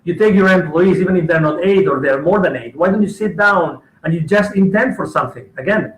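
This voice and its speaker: A man's voice: sits high, fairly quick. Enthusiastic male coach, emphatic and repetitive, echoey room. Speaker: guest coach. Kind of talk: casual conversation.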